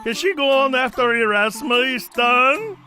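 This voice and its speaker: A woman voicing a streetwise, fatherly old male mentor in an animated film, with folksy, slangy talk. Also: sits high, a little slow, exaggerated voice. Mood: brisk.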